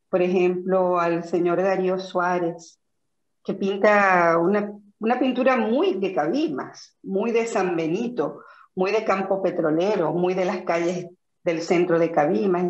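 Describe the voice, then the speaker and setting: A woman's voice: on the low side, darkish tone. A middle-aged woman from Venezuela with a Zulia accent, thoughtfully answering interview questions with frequent fillers and restarts. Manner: reflective, many fillers and restarts. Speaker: interview guest. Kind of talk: conversational interview. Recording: echoey, dull lo-fi audio.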